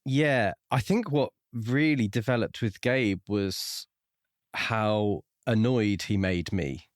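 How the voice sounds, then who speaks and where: Guy with a lowish voice on a book podcast, thinking out loud, dry close mic. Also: slow, no room sound. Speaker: podcast host. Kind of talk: casual book review discussion.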